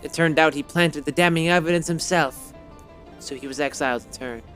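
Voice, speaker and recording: A slightly hoarse man streaming a game, reading its story text aloud and then chatting casually, rambling and correcting himself with many fillers. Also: fairly high pitch, fairly quick, fairly bright tone, faint music behind.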